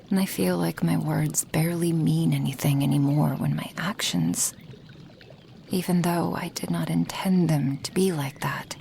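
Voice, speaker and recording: A woman playing a gentle, apologetic female dragon in a soft-spoken ASMR roleplay, breathy and formal in her careful phrasing. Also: on the low side, slight background noise. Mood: earnest, hopeful. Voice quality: breathy, half-whispered.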